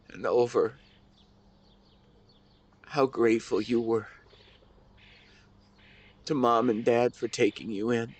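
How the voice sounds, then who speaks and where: A man playing a grieving, devoted husband who speaks to his late wife in a tender, emotional, halting way, as an intimate audio roleplay monologue. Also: mid-range voice, a little mellow, slightly rough. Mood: aching gratitude.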